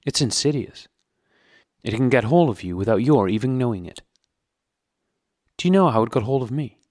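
A man's voice: mid-range voice, animated, normal speed, darkish tone, no echo, studio quality, pitched above the performer's own voice, natural voice. A man voicing a frightened, pudgy middle-aged prisoner who pleads eagerly and nearly blubbers.

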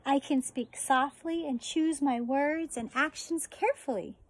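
An American woman in her forties, a teacher reading a children's picture book aloud outdoors with deliberate, expressive phrasing. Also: sits high. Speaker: elementary school teacher reading a story. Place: outdoors on a residential deck.

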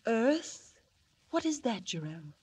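An actress playing a naive, wondering wife of a scientist in a 1940s radio drama, theatrical and questioning. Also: female voice, fairly high pitch, big pitch swings, takes it slowly, darkish tone, husky, full character voice. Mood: puzzled.

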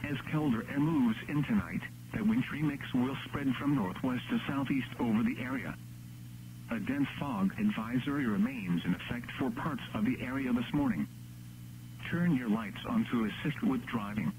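A synthetic male announcer reading scripted weather bulletins in a measured, impersonal text-to-speech delivery. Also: mid-range voice, darkish tone. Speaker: automated weather radio announcer. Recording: noisy radio broadcast with hum.

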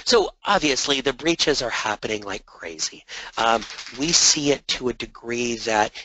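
A man presenting a compliance webinar in a conversational way, slightly hoarse from a sore throat, with many fillers and repeated words. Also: medium pitch, sing-song, measured pace, pushed and bright, no echo, poor audio.

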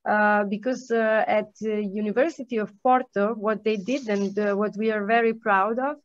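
A woman moderating an online panel, handing the floor to each speaker in turn. Her voice sits at a middle pitch and she speaks haltingly with frequent fillers. The call audio is dry and dull. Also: fairly even intonation, no room sound.

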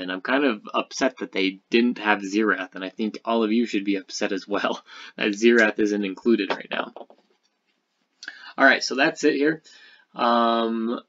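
A man giving casual, unscripted gaming commentary as a video host, speaking haltingly as he thinks aloud. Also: fairly low pitch, a bit bright, lo-fi sound.

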